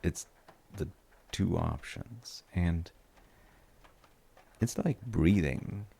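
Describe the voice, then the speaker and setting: A man playing a gentle, musing father in a sleep-aid audio roleplay, talking in unhurried, halting rambles with a low, gravelly voice. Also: full character voice. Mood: soothing, musing. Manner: unhurried, musing, halting with soft trailing phrases. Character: gentle, musing father comforting his tired grown child.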